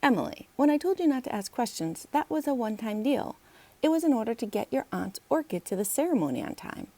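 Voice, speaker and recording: A woman's voice: a little high, big pitch swings, fast, dark tone, no echo, pitched above the performer's own voice. A woman voicing a sensible, grumbly young boy detective in a children's storybook, speaking in a firm, reluctant, emphatic manner. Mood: skeptical, firm.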